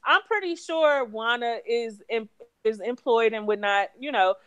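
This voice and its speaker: A woman in her thirties trading opinions on a podcast, emphatic and profane. Her voice sits a little high and sounds dry and close, with no room around it. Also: measured pace, fairly bright tone, clean tone, dry recording, lo-fi sound. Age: 30s. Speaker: podcast co-host. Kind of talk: casual chat.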